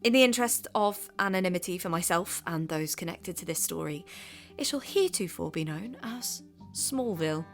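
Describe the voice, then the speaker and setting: A woman's voice: lowish voice, fairly bright tone. A woman playing a wry podcast host from the Midlands. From English Midlands. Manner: measured, wry storytelling. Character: curious young woman podcaster. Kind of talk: scripted podcast narration and street interviews.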